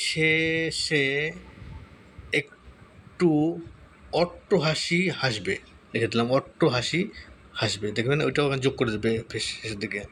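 A man's voice: medium pitch, a bit bright. Man giving a casual tutorial, noisy background. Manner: repeats words slowly while typing. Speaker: tutorial host. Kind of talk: casual screen tutorial, thinking aloud while typing. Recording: clear background noise.

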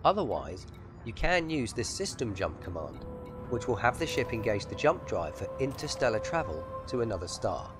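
A man's voice: mid-range voice, big pitch swings, moderate pace, music in the background. A man giving scripted instructional narration as a tutorial narrator for a game voice pack. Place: voice-over with music behind.

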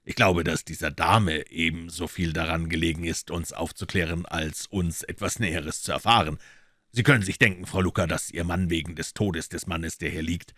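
A man voicing a calm, shrewd middle-aged detective who speaks in a measured, matter-of-fact way. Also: deep voice, no room sound, studio quality.

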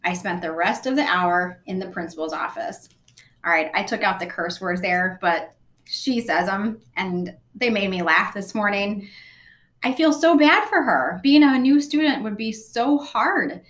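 A woman in her thirties, a school librarian, reading a book passage aloud to students with lively, expressive delivery, recorded on a desk webcam. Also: mid-range voice, unhurried, echoey room. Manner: expressive, animated storytelling with gestures. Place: school library office with bookshelves, indoors.

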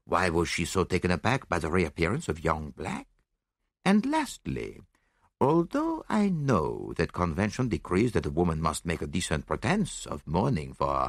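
A man voicing a shrewd, self-assured foreign detective in an audiobook, speaking briskly and precisely with little interjections. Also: low voice, creaky, no echo, studio quality, full character voice.

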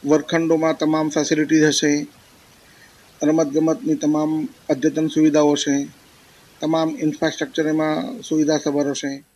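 A middle-aged man gives an interview in an office. His voice sits slightly high as he explains things into a reporter's handheld mic. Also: completely flat delivery, moderate pace, a little mellow, a little hiss or hum, rough recording. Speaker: education official being interviewed. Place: office, indoors. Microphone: handheld reporter microphone.

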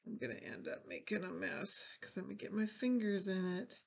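An older woman with a gravelly edge to her mid-pitched voice talks her way through a craft project. She chats casually and pokes fun at her own mistakes. She is recorded by a camera sitting on her table indoors. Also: a little fast, a little mellow, dull audio. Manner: chatty, self-deprecating, thinking aloud while working. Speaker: craft channel host. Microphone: fixed camera over a craft table.

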